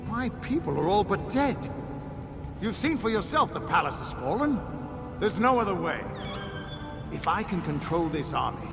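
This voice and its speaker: An actor playing a proud, stubborn, desperate prince and leader in a video game, speaking in a commanding, defiant way. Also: male voice, high-pitched, sing-song, normal speed, vocal fry, pauses often, music in the background, exaggerated voice. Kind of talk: video game cutscene acting.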